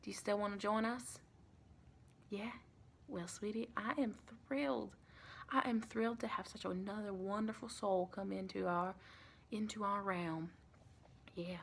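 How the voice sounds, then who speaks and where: An American woman playing a sweet, reassuring vampire in a chatty, rambling ASMR roleplay, with a creaky voice. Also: medium pitch, fairly even intonation, unhurried, pauses often, a little hiss or hum. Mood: pleased, encouraging. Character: sweet, reassuring female vampire welcoming a new recruit.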